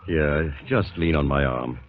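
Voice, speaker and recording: An actor playing a solicitous gentleman doctor with a British accent, courteous and husky, in a 1940s radio drama. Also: a bass voice, measured pace. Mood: concerned, coaxing. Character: solicitous, genteel male doctor helping a stranger. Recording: tinny, narrow-band vintage radio.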